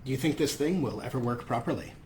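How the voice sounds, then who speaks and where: A man's voice: mid-range voice, fast, a little mellow, a little hiss or hum. A man hosting a video game playthrough, mixing casual commentary with playful in-character replies. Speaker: Let's Play host.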